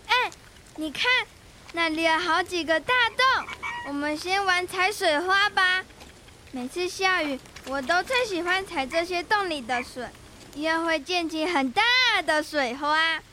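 A schoolgirl playing an excitable, bossy young big sister in a children's radio drama. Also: very high voice, a little slow, a little hiss or hum, heavily acted. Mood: excited.

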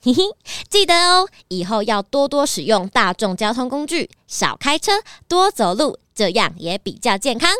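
A woman's voice: a little high, very animated, moderate pace, few pauses, fairly bright tone, clear, resonant tone, no room sound, clean studio sound. A woman with a Taiwanese accent playing an earnest, preachy and cheerful car rental clerk in a children's radio drama, lecturing eagerly. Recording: music under the voice.

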